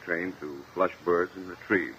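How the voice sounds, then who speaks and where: A man's voice: deep voice, fairly even intonation, a little slow, pushed and bright, slightly rough, full character voice. An actor playing a grudging, skeptical male witness in a 1950s radio courtroom drama, offhand and matter-of-fact. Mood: reluctant, dismissive. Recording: dull, lo-fi vintage radio recording.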